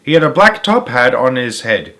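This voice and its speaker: A man narrating a children's novel aloud as a storyteller, expressive and emphatic, sometimes halting and repeating a phrase. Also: mid-range voice.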